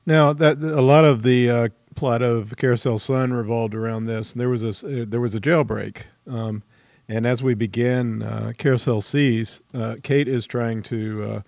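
A man hosting an interview, introducing and asking questions in a somewhat hesitant, halting manner. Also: mid-range voice, steady tone, vocal fry, darkish tone, no room sound. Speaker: interview host. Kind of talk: book podcast interview.

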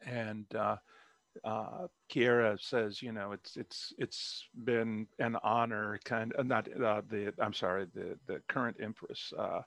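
A man's voice: lowish voice, steady tone, takes it slowly, gravelly, a bit bright, dry recording. A man, an author, chatting casually in an interview over a video call, rambling with many fillers and restarts.

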